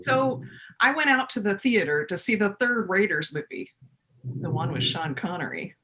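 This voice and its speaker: A woman playing a folksy storyteller in her fifties in a readers theater monologue, conversational and confiding, with dramatic pauses. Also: medium pitch, very animated, fairly bright tone, poor audio. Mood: nostalgic, casual.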